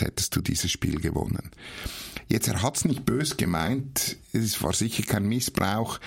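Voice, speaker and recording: Male interview guest, Swiss German accent, heavy creak and husky tone, halting delivery. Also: medium pitch. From Switzerland. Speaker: interview guest, theologian and author. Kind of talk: personal storytelling in an interview.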